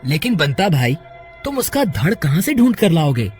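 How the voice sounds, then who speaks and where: A man's voice: a little high, sing-song, normal speed, faint music behind. A man voicing an anxious, helpful village husband and friend in a horror cartoon, earnest and urgent. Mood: concerned. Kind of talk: Hindi horror cartoon character voice.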